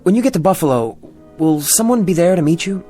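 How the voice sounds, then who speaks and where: A man's voice: high-pitched, lively intonation, fast talker, warm tone, faint music behind. An actor playing a kindly, patient man traveler in an audio drama, speaking in a natural, tentative, soothing way. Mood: helpful. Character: kindly, reassuring male fellow traveler, puzzled but patient.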